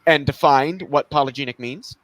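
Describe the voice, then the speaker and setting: A man's voice: medium pitch, normal speed, fairly bright tone, no echo, low-quality recording. A man in a heated casual chat, talking bluntly and swearing, indignant about a debate opponent. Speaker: co-host of a debate review stream.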